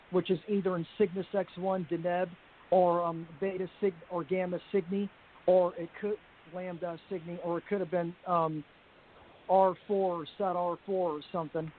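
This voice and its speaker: A woman with a deep voice speaks over a thin, phone-like line with a faint hiss underneath. She rambles through a personal account, pausing on fillers and restarting words, her pitch staying fairly level. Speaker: panel guest. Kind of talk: personal account on a group call.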